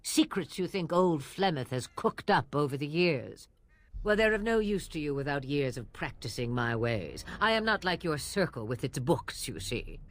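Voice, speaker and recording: A woman's voice: low voice, very animated, moderate pace, no room sound. An actress playing a sardonic, weary and imperious old witch of the wilds in a fantasy game, with an aged, theatrical delivery. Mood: wry. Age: older. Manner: theatrical, wry, dismissive. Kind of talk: fantasy video game voice acting.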